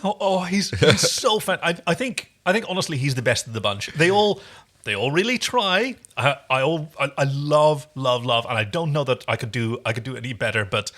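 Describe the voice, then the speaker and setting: A man's voice: on the high side, animated, fairly quick, a bit bright. A man co-hosting a podcast, recapping an episode in casual conversation, enthusiastic and often searching for his words. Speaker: podcast co-host.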